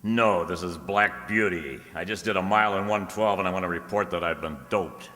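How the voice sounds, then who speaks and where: Man playing a deep-voiced wisecracking private eye. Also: normal speed, pushed and bright. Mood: sarcastic. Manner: sardonic, wisecracking. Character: wisecracking male private detective, flirtatious, cocky, breezy. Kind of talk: old-time radio detective drama acting. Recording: reverberant hall, stage-like echo.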